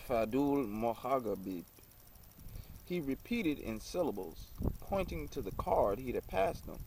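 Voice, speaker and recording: A man reading an old religious story aloud as an amateur narrator, in a halting, deliberate way that stumbles over words. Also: medium pitch, warm tone, a little hiss or hum, poor audio. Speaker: amateur audiobook reader.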